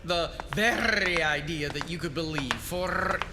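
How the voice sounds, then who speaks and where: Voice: mid-range voice, a little slow, lightly acted. An actor playing an indignant, self-important scientist in an audio drama, flustered and sputtering. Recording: echoing hall with faint music.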